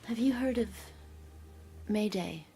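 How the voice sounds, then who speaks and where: An anxious, secretive young woman in an intimate audio drama. She murmurs slowly and haltingly, with a hushed voice that creaks at the edges. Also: medium pitch. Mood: cautious. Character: secretive, anxious young woman living under oppressive rule.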